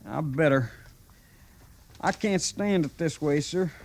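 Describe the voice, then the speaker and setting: An actor playing a suffering, evasive cavalry private in an old time radio drama, with a plaintive, complaining manner. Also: male voice, a little high, slow pace. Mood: pained, self-pitying. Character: suffering, evasive male army private with aching head. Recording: vintage radio drama recording.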